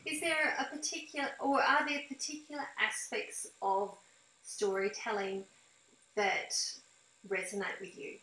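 A woman's voice: a little high, little pitch movement, measured pace, sharp tone. A middle-aged woman, asking questions in a conversational interview. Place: indoor home room. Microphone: computer mic on a video call. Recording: echoey room on video call.

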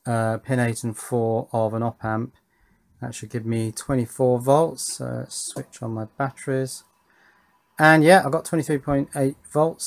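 A man's voice: medium pitch, vocal fry. A man giving casual hands-on commentary as an electronics hobbyist, thinking aloud while he works. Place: indoors at a workbench.

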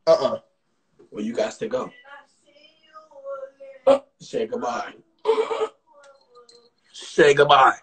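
A man's voice: mid-range voice, slow, pauses often, a bit bright, a little hiss or hum, rough recording. A young man hosting a casual livestream from a small bathroom, talking into a phone at arm's length in a laid-back, slangy way full of repeated fillers. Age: young adult. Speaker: livestream host. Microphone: phone held at arm's length.

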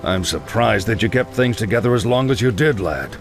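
Casting: older knightly mentor, stern and commanding, lowish voice, very dynamic, game cutscene with music. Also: male voice, animated, fairly quick. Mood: stern caution. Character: stern, seasoned older male paladin mentor. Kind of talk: video game cutscene acting.

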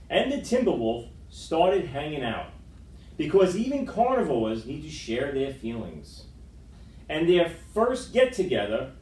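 A middle-aged man reads a picture book to children in a fairly high, very lively voice. His storytelling is dramatic and expressive. The sound is roomy, picked up from a distant camera microphone. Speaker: storytime reader. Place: school room with wood-panelled walls, indoors. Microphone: far camera microphone.

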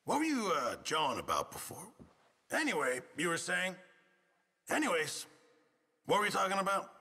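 An actor playing a gruff, fretful middle-aged camp cook in a Western video game, exasperated and husky. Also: male voice, high voice, moderate pace, long reverb tail, exaggerated voice. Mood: casual. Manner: exasperated, excitable, swinging from mutters to shouts. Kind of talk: video game ambient character barks.

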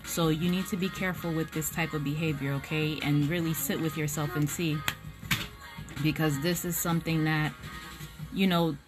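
A woman's voice: low-pitched, fairly even intonation, moderate pace, music in the background. A young woman giving a casual tarot reading straight to her phone camera at home, frank and blunt, swearing freely and filling gaps with "um" and "like". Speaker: tarot reader. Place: small indoor room at home. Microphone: phone camera at close range.

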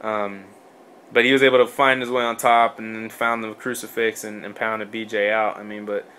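Bright-voiced man in rambling podcast chat. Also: lowish voice, flat delivery, normal speed, a little hiss or hum, poor audio. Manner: rambling, many fillers and restarts, swears. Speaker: podcast co-host. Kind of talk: casual sports chat.

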